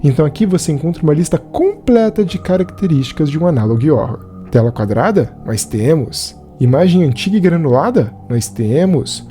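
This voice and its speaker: A man's voice: on the high side, very animated, brisk, few pauses, warm tone, soft background music. A man with a Brazilian accent giving a conversational scripted narration as a film reviewer. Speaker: video essayist and film reviewer.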